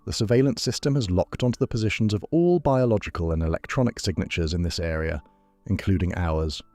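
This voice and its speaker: A calm AI companion performed by a male narrator gives concise, analytical advice in a sci-fi story. The voice is deep, with faint music beneath. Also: brisk, no room sound.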